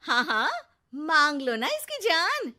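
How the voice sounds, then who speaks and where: Woman doing a naggy cartoon mom, super high voice, sarcastic, dramatic, feels ignored by everyone. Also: wildly swooping pitch, takes it slowly, sharp tone, heavily acted. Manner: dramatic exclamations, biting rhetorical questions. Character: neglected, talkative, sarcastic nagging housewife and mother. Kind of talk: Hindi cartoon moral story acting.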